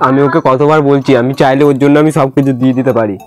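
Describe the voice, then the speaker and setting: A man's voice: medium pitch, little pitch movement, racing. A man with a West Bengal accent making a prank call in casual talk, pleading and insistent, often repeating himself. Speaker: prank caller. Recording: loud music under the call. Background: music.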